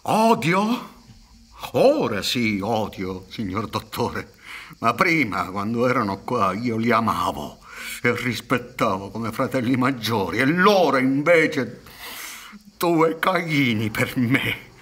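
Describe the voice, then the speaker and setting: Husky, embittered peasant son. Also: male voice, medium pitch, lively intonation, slow pace, a bit bright, exaggerated voice. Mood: bitter, indignant. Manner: deferential, then impassioned and indignant. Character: aggrieved, embittered peasant son, proud and hardworking. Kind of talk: Italian radio drama acting. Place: theatre stage set up for radio recording. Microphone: stand microphone with headphones.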